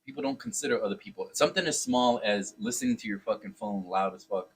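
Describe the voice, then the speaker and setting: A man co-hosting a casual podcast with a rough, husky edge. He talks at a brisk clip in an opinionated, profane way, doubling back on phrases to drive them home. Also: fairly low pitch, huge pitch swings, rough recording. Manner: profane, emphatic, repeats phrases for stress. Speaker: podcast co-host.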